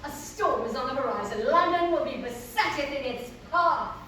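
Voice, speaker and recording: A woman acting as a melodramatic lady thief, high-pitched and loud, declaiming grand mock-Shakespearean lines as to a lover. The voice echoes heavily and sits back from the mic. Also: expressive, moderate pace. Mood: dramatic pleading. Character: melodramatic female thief pleading with her lover. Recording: roomy echo, distant mic, faint noise.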